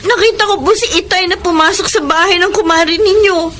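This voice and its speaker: An actress playing a timid, distressed young daughter in a Filipino radio drama, pleading and respectful. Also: female voice, very high-pitched, a little fast. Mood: anxious.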